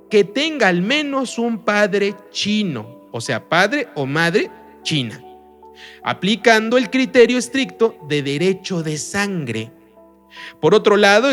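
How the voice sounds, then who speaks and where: High, lively-voiced man teaching. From Mexico. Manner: emphatic, asks rhetorical questions. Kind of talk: recorded online lesson.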